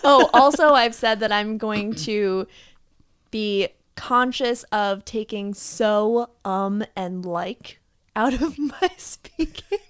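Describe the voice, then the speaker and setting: A woman's voice: medium pitch, slow pace, smooth. A woman hosting a podcast in casual chat, bubbly and laughing often as she teases herself. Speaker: podcast host.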